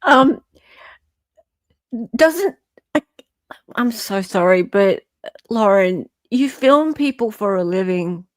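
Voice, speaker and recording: A woman's voice: medium pitch, expressive, slow pace, long pauses, no room sound. An Australian-accented woman hosting casual reaction commentary in a blunt, incredulous, sweary way. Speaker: host.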